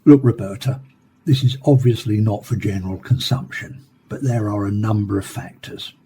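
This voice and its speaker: Man playing a mellow, measured scientist, noisy background. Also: on the low side, natural voice. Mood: frank, cautious. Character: renowned male professor, measured scientist explaining his research. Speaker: scientist being interviewed. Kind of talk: scripted radio drama interview.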